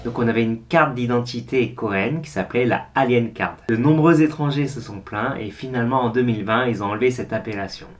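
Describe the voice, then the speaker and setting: A man hosting, narrating a calm, explanatory voice-over. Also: mid-range voice, brisk, few pauses, faint music behind. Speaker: host.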